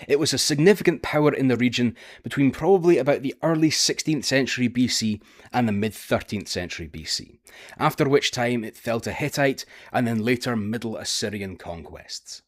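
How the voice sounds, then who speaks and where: A man with a Scottish accent, presenting a scripted history video in a careful, explanatory way. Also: medium pitch. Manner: careful, explanatory, with occasional filler "uh". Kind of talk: scripted educational narration.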